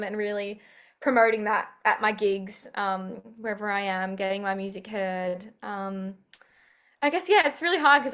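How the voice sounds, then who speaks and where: A woman's voice: a little high, a bit bright. A young woman chatting in an interview over a video call, upbeat and full of fillers. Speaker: singer-songwriter guest. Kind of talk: casual interview chat.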